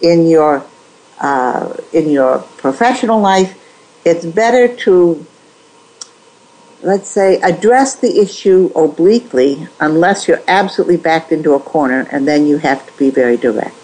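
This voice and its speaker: A woman with a husky, slightly low voice speaks as an interview guest. She gives advice in a measured way, often restarting her sentences. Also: animated, mellow, low-quality recording. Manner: measured, advisory, with frequent restarts. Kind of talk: conversational interview.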